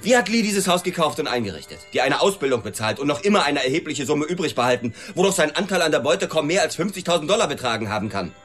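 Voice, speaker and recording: Agitated husband, suspicious, quick talker, very lively pitch, radio play. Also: male voice, faint music behind. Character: agitated, suspicious husband questioning his wife. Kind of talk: radio drama dialogue.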